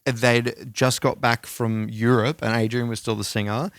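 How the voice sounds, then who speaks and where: A man's voice: medium pitch, little pitch movement, a little slow, no room sound, clean studio sound. A middle-aged Australian man co-hosting a podcast, telling rambling, slangy anecdotes in casual chat with some swearing. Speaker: podcast co-host.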